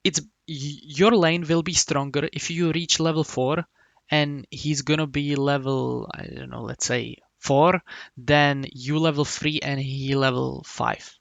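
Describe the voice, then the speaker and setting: Gravelly-voiced man coaching. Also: a little high, fairly even intonation, a bit bright, no echo, lo-fi sound. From Czech Republic. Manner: halting, many restarts and hums while explaining. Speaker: game coach. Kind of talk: casual coaching talk.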